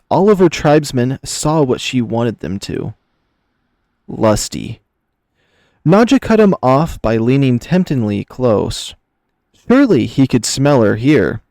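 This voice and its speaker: Guy narrating a story, soft dark tone, mid pitch, really dry recording, expressive reading. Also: no room sound. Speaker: narrator, reader.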